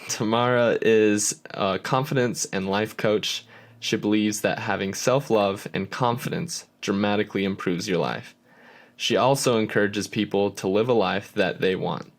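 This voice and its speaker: A man's voice: lowish voice, moderate pace. Casual male podcast host, curious. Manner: friendly, curious, casual fillers like "kinda" and "right?". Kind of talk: casual interview chat.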